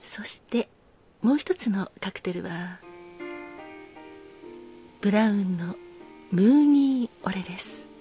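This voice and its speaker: A woman's voice: medium pitch, expressive, slow, long pauses, warm tone. A woman in a scripted solo radio-drama narration, speaking formally and politely as the courteous master of a seaside cocktail bar. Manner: polite, formal hospitality speech. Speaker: podcast host playing bar master. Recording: music behind the voice. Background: music.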